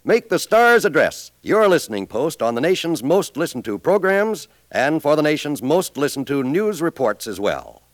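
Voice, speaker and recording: A man's voice: on the high side, big pitch swings, normal speed, few pauses, no echo. An American man announcing scripted network radio copy in a formal, polished 1950s style.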